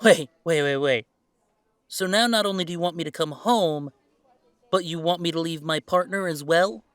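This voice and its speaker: A man playing an earnest young adult werewolf son in an audio drama, halting but indignant as he stands up for himself. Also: on the high side, fairly bright tone, clear, resonant tone, no room sound, lightly acted. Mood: protective, indignant. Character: defiant grown werewolf son, earnest and protective. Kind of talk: scripted audio drama acting.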